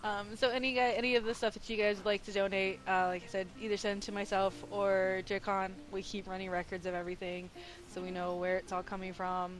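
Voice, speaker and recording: A woman's voice: medium pitch, level tone, fairly quick. Practical woman giving guild bank news. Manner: plain, practical, with fillers. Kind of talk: casual voice chat announcement.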